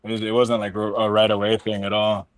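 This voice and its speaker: A man in his thirties with a lowish voice talks in a rambling, enthusiastic way, often restarting his sentences. He sounds close and dry on a video call mic, with no room sound. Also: completely flat delivery, quick pace, no room sound. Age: 30s. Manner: rambling, self-interrupting, enthusiastic. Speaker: collector and card seller. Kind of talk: casual group video call chat.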